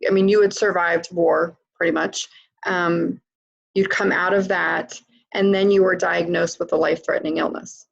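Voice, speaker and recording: A woman's voice: fairly low pitch, fairly even intonation, normal speed, gravelly, highs cut off. A woman hosting an interview, speaking emphatically and addressing her listeners directly. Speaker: host. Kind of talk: interview, spiritual coaching chat.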